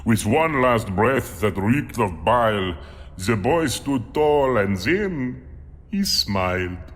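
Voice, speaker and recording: A monstrous storyteller, played by a man, recites a grim rhymed memoir with theatrical deliberation. The pace is slow, the pitch swoops expressively, and the voice hangs in a long hall reverb. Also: sing-song. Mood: dramatic, grim. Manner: dramatic, deliberate. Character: monstrous male creature reciting his grim rhyming memoir.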